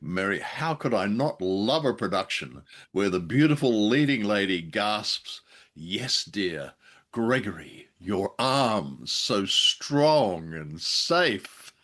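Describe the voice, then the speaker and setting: A man's voice: fairly low pitch, sing-song, slow, a bit bright. A man co-hosting a podcast in casual, wry and playful conversation. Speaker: podcast co-host. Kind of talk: casual, jokey chat.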